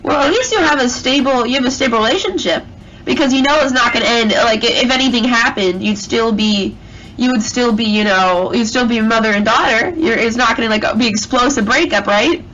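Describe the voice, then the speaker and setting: A woman's voice: fairly high pitch, a little fast, a bit bright. A woman casually asking questions on a private call, prodding and agreeable, often echoing the answers. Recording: muffled, noisy leaked phone recording.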